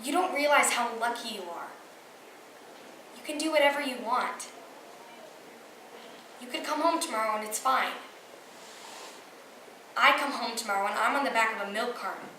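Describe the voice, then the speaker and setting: A woman's voice: on the high side, moderate pace, long pauses, sharp tone. A young teenage girl performing a stage monologue as a frustrated, vulnerable teen confiding emphatically in a friend. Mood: frustrated, bitter. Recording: roomy, distant, noisy live recording.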